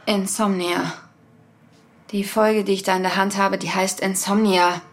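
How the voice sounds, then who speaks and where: A woman's voice: medium pitch, little pitch movement, a little slow, natural voice. A woman playing a haunted, searching podcaster in an audio drama, speaking with earnest intensity. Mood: stunned, urgent. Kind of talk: audio drama, first-person podcast narration.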